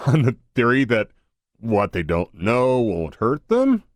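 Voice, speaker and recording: A man's voice: mid-range voice, huge pitch swings, slow, no room sound. A man playing a crooked, conspiratorial henchman of a politician in an audio drama. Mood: sly. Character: crooked, conniving crony of a corrupt mayor.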